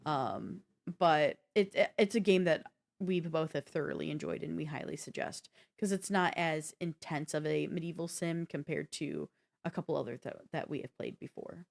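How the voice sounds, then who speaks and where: A woman with a slightly low voice talks as co-host of a gaming podcast. Her delivery is informal and conversational, laying things out in an explanatory way for listeners. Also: moderate pace, creaky. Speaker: co-host of a video game podcast. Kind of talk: casual gaming talk show chat.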